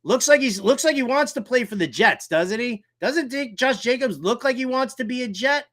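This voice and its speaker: An American man hosting a fantasy football talk show, animated and theatrical, with colloquial, wisecracking delivery. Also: very high-pitched, normal speed. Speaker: sports talk show host.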